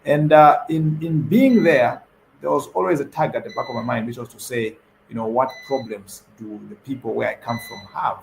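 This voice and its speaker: Young man, mid-pitched, gravelly, hesitant panel guest with many fillers. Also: normal speed, a little hiss or hum, poor audio. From Zambia. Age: young adult. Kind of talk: panel discussion answer.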